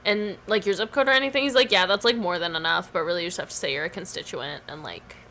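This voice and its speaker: A woman co-hosting a podcast in casual chat, hoarse from swollen tonsils, rambling with lots of "like" and "um". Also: fairly low pitch, quick pace, bright, forceful tone. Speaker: podcast co-host.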